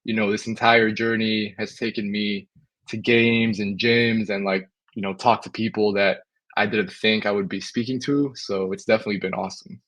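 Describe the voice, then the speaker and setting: A young man from Spain talks as a guest on a podcast, relaxed and easygoing. His voice sits a little low, and he pads his thoughts with casual fillers. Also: moderate pace. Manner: relaxed, lots of "you know" fillers. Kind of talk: casual interview.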